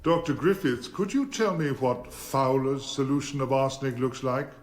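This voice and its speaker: An actor playing a formidable English defence barrister in a radio drama, commanding, deliberate and rhetorical. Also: male voice, mid-range voice, lightly acted. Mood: probing, firm.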